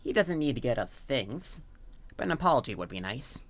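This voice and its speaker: An actor playing a worried, reassuring young student peacemaker in an amateur audio drama, measured and conciliatory. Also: mid-range voice, big pitch swings, dry recording, close to an everyday voice.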